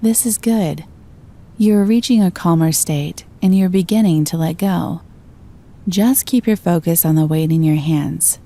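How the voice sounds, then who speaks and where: A woman narrating a scripted guided meditation in a gentle, calm and soothing manner. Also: lowish voice, measured pace.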